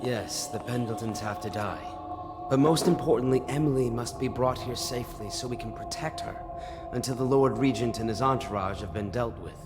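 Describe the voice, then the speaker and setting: An actor playing a stern, calculating former overseer in a video game, grave and formal. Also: male voice, mid-range voice, normal speed, music in the background, lightly acted. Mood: grave, resolute. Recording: long reverberant hall.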